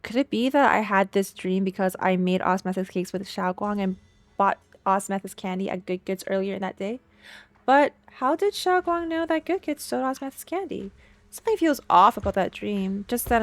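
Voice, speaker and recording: A woman streamer reading a game's narration aloud in an expressive storytelling way, with casual reactions. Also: mid-range voice, moderate pace, no echo.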